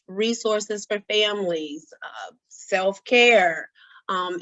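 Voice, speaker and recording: A woman with a raspy voice hosting an online event, speaking in a courteous, organised announcing manner. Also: medium pitch, fairly bright tone, no room sound. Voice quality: raspy this evening. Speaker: host of an online symposium. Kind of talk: event introduction and hosting on a video call. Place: indoors on a video call. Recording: dull video-call audio.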